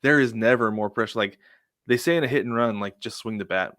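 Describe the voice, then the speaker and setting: A man's voice: medium pitch, quick pace, fairly bright tone, no echo, clean studio sound. A man co-hosting a sports podcast, telling casual stories and acting out what people said. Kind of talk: casual baseball chat with anecdotes.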